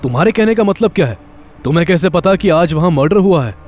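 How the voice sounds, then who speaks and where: A man's voice: a little high, lively intonation, fast talker, a little mellow, natural voice. A man playing a powerful, protective hero in an audio horror drama, speaking earnestly and firmly. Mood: baffled, intense. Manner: earnest, questioning, firm. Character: concerned, commanding powerful man, protective and intense.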